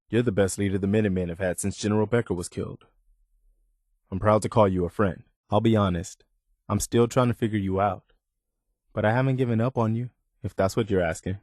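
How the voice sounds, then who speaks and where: Guy acting as a loyal soldier companion in a video game, low voice, sincere n respectful, dry close sound. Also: no room sound, close to an everyday voice. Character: earnest, loyal militia lieutenant, a soldierly man with weary hope.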